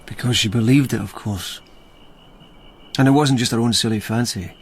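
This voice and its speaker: A calm military investigator in a period radio drama, medium in pitch with a husky, creaky grain. He questions gently and keeps his concern restrained. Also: normal speed. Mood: regretful.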